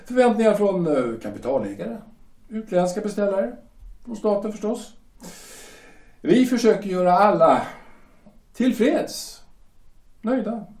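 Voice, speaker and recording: A man's voice: high-pitched, sing-song, pauses often, a little mellow. An actor playing a stern male shipyard director who lectures formally, with hesitant fillers, in an audio drama. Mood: formal lecturing. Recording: roomy echo, distant from mic.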